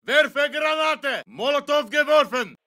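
An actor playing an alert, forceful soldier who barks clipped warnings in a video game. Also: male voice, extremely high pitch, slow pace, full character voice. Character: alert, forceful male soldier calling out threats. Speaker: military game character. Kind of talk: video game combat callouts.

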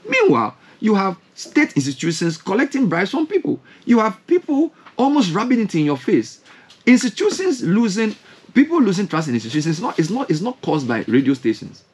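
A Ghanaian man hosting a radio show speaks into a studio mic, his voice a little husky and riding high. His pitch leaps around with real animation as he fires off pointed questions. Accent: Ghanaian English. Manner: animated, rhetorical questions, gesturing hands. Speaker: radio host. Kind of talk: impassioned on-air commentary. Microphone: studio mic with headphones.

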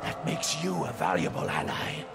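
A gravelly fire-cult preacher. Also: male voice, medium pitch, sing-song, moderate pace. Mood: preaching. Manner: grandiose, preaching, sweeping swings between loud and hushed. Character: fiery male cult preacher of a fire-worshipping flock. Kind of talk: video game cutscene acting. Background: loud score music.

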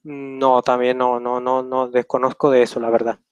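A man's voice: mid-range voice, little pitch movement, normal speed, clean tone, dry recording, dull audio. A young man hosting a casual question-and-answer session over a video call from a small room, rambling and hesitant with many fillers. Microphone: webcam on a video call.

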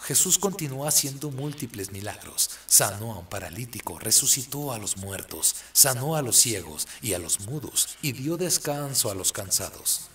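A man's voice: medium pitch, big pitch swings, normal speed, hardly any pauses, a bit bright, slight background noise. A man delivering scripted dramatic narration as the narrator of a radio drama.

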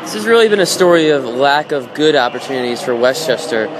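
A man's voice: mid-range voice, animated, fairly quick. A man giving color analysis on a hockey radio broadcast, restarting phrases as he explains the play. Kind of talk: sports commentary. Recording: noisy background.

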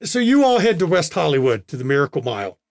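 A man running a tabletop game as game master, narrating with many pauses and restarts. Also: on the high side, sing-song, no echo, clean studio sound. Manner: halting, many uhs and restarts.

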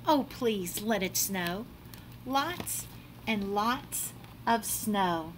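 A woman's voice: mid-range voice, slight background noise, low-quality recording. A middle-aged woman reads a children's picture book aloud like a teacher, dramatically and expressively, drawing out words. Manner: animated, dramatic delivery with drawn-out words. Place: small classroom, indoors.

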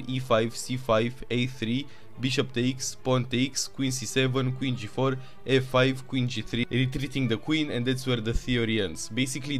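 A man with a Romanian accent narrating chess games move by move, with excited asides. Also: medium pitch. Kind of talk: chess game commentary.

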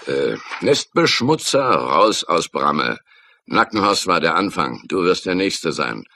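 An actor playing a gruff, cynical hardline police detective in a radio crime drama, with a curt, sardonic manner. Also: male voice, low voice, very animated, takes it slowly, sharp tone, slight background noise, full character voice. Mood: curt, dismissive.

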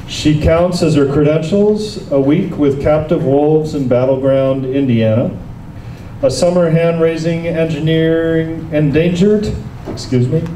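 Dark-voiced man introducing a reader. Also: on the high side, a little hiss or hum. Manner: reads from a sheet, occasional slips and self-corrections. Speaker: reading series host. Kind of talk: reading a speaker introduction. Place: small stage in a dark club. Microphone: stand mic. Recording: roomy, distant live-room sound.

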